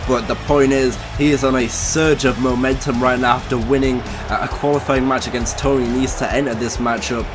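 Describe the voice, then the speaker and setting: A man's voice: mid-range voice, fairly even intonation, fairly quick, hardly any pauses, over music. Hyped man doing wrestling play-by-play. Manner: hyped, bursts into shouts at big moves. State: losing his voice. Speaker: YouTuber commentating his own game. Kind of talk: excited play-by-play commentary.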